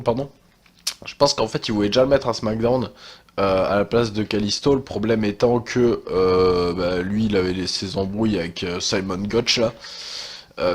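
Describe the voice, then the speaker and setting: A man's voice: fairly low pitch, husky. A young man with a stuffy cold, talking casually and hesitantly about wrestling as a channel host, with many fillers and sighs. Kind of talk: casual commentary monologue.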